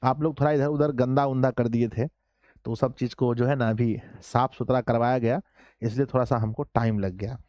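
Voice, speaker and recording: A man teaching an online class in a casual, joking way, slightly hoarse, often calling his students children. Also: mid-range voice, fairly even intonation, moderate pace, darkish tone, no room sound, clean studio sound. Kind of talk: casual live class lesson.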